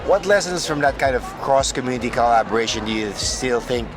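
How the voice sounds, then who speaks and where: Man, podcast host, effusive, enthusiastic, lower voice, music behind. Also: expressive, brisk. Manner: effusive, frequent "mm" affirmations and laughs. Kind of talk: enthusiastic interview hosting. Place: conference booth.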